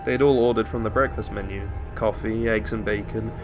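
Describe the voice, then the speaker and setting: A man's voice: on the low side, fairly even intonation, a little fast, music in the background. A man narrating a scripted story as a dramatic audiobook reading.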